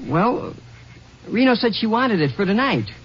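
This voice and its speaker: Guy doing a nervous american kid, hesitant yessir type, high voice, muffled old radio show. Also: big pitch swings, measured pace. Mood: sheepish, cornered. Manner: hesitant, polite, sheepish. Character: nervous, evasive teenage boy caught stealing. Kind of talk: 1950s radio drama acting. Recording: muffled, faintly noisy old radio recording.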